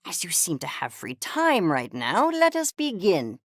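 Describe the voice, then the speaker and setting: A woman's voice: lowish voice, huge pitch swings, measured pace, no echo, clean studio sound, full character voice. A woman voicing a pompous, obsessive fuzzy creature scientist in a video game, coldly curious and disdainful, with precise, haughty, clipped academic diction. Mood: haughty, eager. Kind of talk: video game character voice lines.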